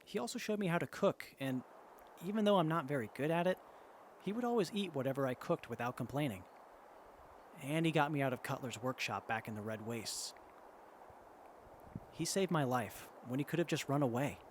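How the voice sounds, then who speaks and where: A man's voice: medium pitch, lively intonation, normal speed, long pauses, a little hiss or hum, natural voice. A man playing an earnest, hesitant, kind-hearted wasteland survivor in an audio drama dialogue. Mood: conflicted, hesitant. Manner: hesitant, sincere, soft-hearted.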